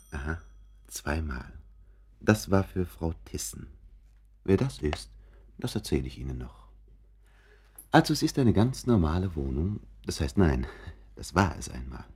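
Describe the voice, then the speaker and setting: A man's voice: deep voice, darkish tone, slightly rough, heavily acted. A man playing a chatty, confiding narrator in a radio play, musing and interrupting himself with asides. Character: chatty, confiding male narrator showing listeners around an apartment.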